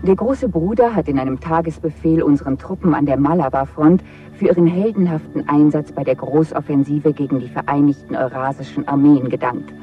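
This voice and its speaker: An actor playing a male totalitarian party announcer in a radio drama, reading formal propaganda bulletins in a stiff, proclaiming way through a loudspeaker. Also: a little high, brisk. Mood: solemn, proclaiming. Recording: distant, muffled radio sound with music.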